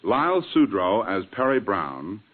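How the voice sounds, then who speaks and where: A man's voice: fairly low pitch, big pitch swings, unhurried. Tinny 1940s radio man introducing. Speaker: radio drama director introducing the play. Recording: tinny vintage radio recording.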